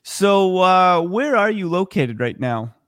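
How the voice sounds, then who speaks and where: Dry, higher-voiced middle-aged male podcast host. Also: sing-song, a bit bright, no room sound. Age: 40s. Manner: measured, scripted intro, direct questions. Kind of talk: podcast interview hosting.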